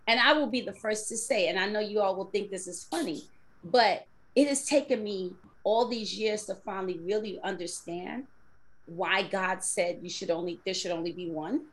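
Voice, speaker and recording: A woman in her fifties lays out her thoughts earnestly and at length. She speaks at a middle pitch in a relaxed group conversation. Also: low-quality recording. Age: 50s. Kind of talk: casual group chat.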